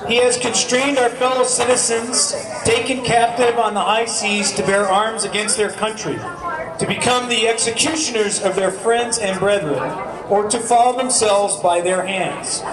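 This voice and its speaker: A man's voice: sits high, steady tone, unhurried. An American man reciting and speaking publicly to a gathering. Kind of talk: public recitation and address to a crowd. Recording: echoey, distant mic amid crowd noise.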